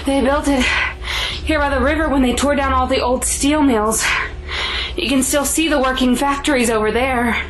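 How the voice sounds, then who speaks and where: A woman's voice: high-pitched, fairly even intonation, moderate pace, slight background noise, close to an everyday voice, rough recording. A woman playing an anxious, protective mother in an audio drama, speaking in a worried, pleading way.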